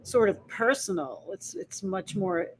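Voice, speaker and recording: A woman musician in a restaurant, talking with a husky voice in a relaxed, matter-of-fact way, sometimes hesitating. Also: medium pitch, a little slow, low-quality recording. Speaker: singer and musician guest. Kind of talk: interview answers.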